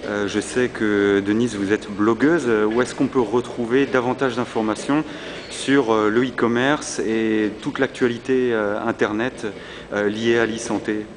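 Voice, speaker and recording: A man with a fairly low voice conducts a podcast interview, hesitant and full of fillers. The ambient noise of a busy convention floor comes through clearly behind him. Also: steady tone, a little slow. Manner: hesitant, many fillers and repeated words.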